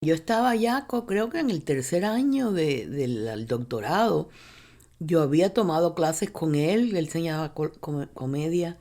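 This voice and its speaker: A woman's voice: low-pitched, measured pace. An older woman from Cuba, telling her life story in an interview, often restarting words and slipping in English phrases. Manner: animated when retelling, many restarts, mixes in English phrases. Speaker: interviewee, university professor. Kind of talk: reflective personal storytelling.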